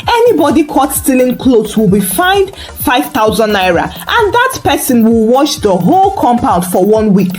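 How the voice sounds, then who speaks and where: A woman's voice: a little high, sing-song, moderate pace, hardly any pauses, a little mellow, faint music behind. A woman voicing an authoritative, amused male landlord passing judgement in a folktale, pompous and declaring. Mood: stern but amused.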